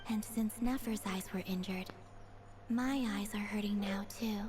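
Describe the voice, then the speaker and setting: An actress playing a gentle, reserved mystical young maiden in a video game, speaking softly and earnestly. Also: female voice, medium pitch, steady tone, unhurried, over music, natural voice. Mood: solemn, hesitant. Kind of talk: scripted game voice acting.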